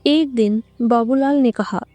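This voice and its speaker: A woman reads a scripted tale as narrator. Her voice sits fairly high yet has a very soft, mellow tone, and she takes her time over each line. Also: measured pace, dry recording, faint music behind. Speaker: story narrator.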